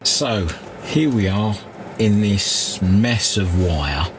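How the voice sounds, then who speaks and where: A man giving an unscripted, halting workshop commentary, thinking aloud as he works at a repair bench. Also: fairly low pitch, lively intonation, music in the background, low-quality recording. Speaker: hobbyist radio repairer and video host. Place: indoor repair workbench. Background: loud noise, radio and test-tone sounds.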